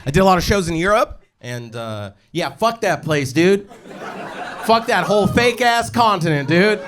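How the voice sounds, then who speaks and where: A man's voice: sits high, animated, moderate pace, a bit bright, some chatter behind, a little hiss or hum. A man with a Californian American accent doing stand-up comedy, telling stories in a casual, profane, slangy way. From United States, California. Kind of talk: stand-up comedy storytelling to a live audience. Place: live comedy show with audience.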